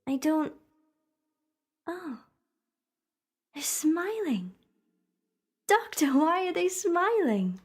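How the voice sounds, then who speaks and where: A woman's voice: very high voice, big pitch swings, very slow, pauses often, heavily acted. A woman playing a loyal, earnest young companion in an audio drama, full of questions. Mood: wondering.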